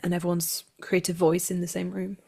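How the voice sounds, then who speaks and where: A woman with a slightly low voice speaks as an actor being interviewed. Her delivery is conversational and unscripted, as a guest sharing her thoughts. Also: flat delivery, fairly quick, gravelly, warm tone, husky.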